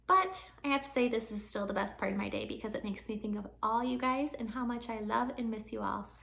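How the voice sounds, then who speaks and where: High-voiced woman teacher reading aloud, echoey room. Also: fairly quick, vocal fry, a bit bright, slight background noise. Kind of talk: children's storybook read-aloud.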